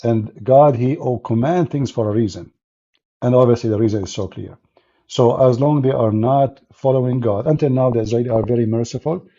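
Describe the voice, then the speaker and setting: Blunt mocking host, dark muffled voice. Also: male voice, mid-range voice, brisk. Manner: blunt, mocking, non-native English. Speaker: host of call-in debate show. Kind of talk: combative call-in debate.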